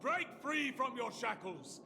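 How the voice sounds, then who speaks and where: Very high, creaky, fervent invoker. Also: male voice, animated, slow, background noise, exaggerated voice. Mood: commanding, fervent. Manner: commanding, incantatory. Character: fervent ritual invoker commanding a god's soul. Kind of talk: video game voice acting. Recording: music behind the voice.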